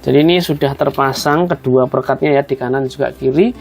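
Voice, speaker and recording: Gravelly man giving a casual tutorial. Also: medium pitch, steady tone, fast talker, faint music behind. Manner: conversational, often addressing viewers as friends. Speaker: host of a tutorial video. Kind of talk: casual step-by-step hands-on tutorial.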